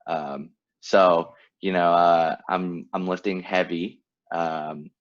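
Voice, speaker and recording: Deep-voiced laid-back man, slightly muffled. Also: steady tone, slow. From Vietnam, raised in California. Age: late 20s. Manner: laid-back, laughs often, lots of fillers. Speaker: podcast co-host. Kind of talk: casual chat. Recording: dull lo-fi sound.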